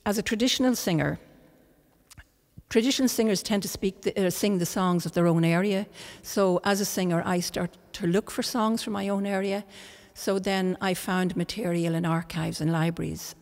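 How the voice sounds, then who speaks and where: Older irish lady, gravelly lowish voice, calm measured talk, chapel reverb. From Ireland. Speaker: guest singer. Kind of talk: reflective interview talk. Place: large chapel. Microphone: studio mic on a stand.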